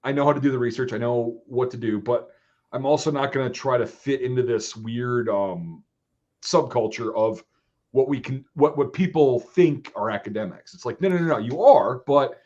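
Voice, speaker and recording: A man with a Northern Midwest accent, thinking out loud in a casual conversation. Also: medium pitch, moderate pace. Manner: rambling, restarts, thinking aloud. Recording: dull lo-fi sound.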